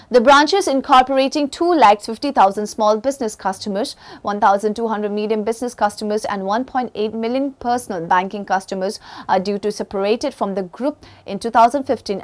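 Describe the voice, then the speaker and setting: A young woman presenter reads a factual script at a middle pitch. Her delivery is steady and even, like a read-out report. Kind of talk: scripted narration.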